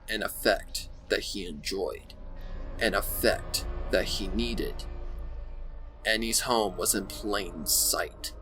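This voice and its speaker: A male narrator reads a dark story with dramatic weight. His delivery is slow and ominous, the voice fairly low but forceful, with music softly underneath.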